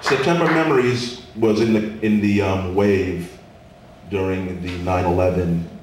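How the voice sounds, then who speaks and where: A man's voice: low-pitched, unhurried. A man performing his poetry live, moving between informal introduction and spoken word verse. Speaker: poet performer. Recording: echoey room, distant mic, faint noise.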